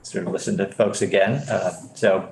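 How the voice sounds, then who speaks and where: A man's voice: low-pitched, fairly even intonation, normal speed, vocal fry. A man chairing a legislative committee who speaks in an easygoing, hesitant way with frequent "uh"s. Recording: echoey hearing room with faint hiss.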